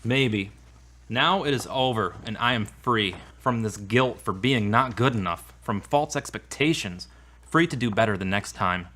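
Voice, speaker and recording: A man's voice: fairly low pitch, measured pace, pushed and bright, a little hiss or hum. A man playing an earnest, sensitive grown son with a serious manner in an audio drama. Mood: earnest, confessing.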